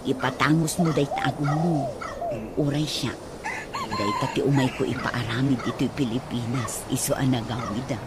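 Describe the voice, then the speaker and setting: Persuasive gossipy friend played by an actor, Ilocano accent, mid-pitched, radio comedy, clear background ambience. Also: wildly swooping pitch, normal speed. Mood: coaxing. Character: chatty, coaxing, vain-minded friend. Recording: background noise with bird ambience.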